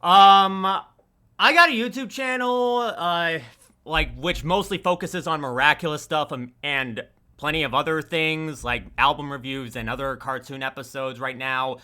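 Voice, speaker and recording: A man's voice: a little high, measured pace, sharp tone, clean tone. A man speaking casually and off the cuff as a panel guest, somewhat hesitant, listing things one by one. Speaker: panel guest, video creator. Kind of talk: casual unscripted panel chat.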